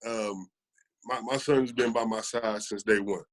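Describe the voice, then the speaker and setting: A man's voice: on the low side, steady tone, measured pace. An American man in his thirties talking casually and earnestly as a podcast guest, often repeating phrases for emphasis. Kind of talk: casual conversational storytelling.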